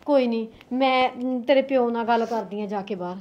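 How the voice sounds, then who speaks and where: A woman's voice: high-pitched, fairly quick, fairly bright tone, muffled recording. A woman playing a worried middle-aged mother who scolds and coaxes her family. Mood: soothing. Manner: scolding then coaxing, motherly. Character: worried middle-aged mother caught between son and daughter-in-law.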